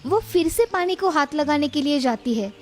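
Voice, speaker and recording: A woman's voice: high voice, animated, normal speed, a little hiss or hum. A woman narrator reading a scripted moral story for children.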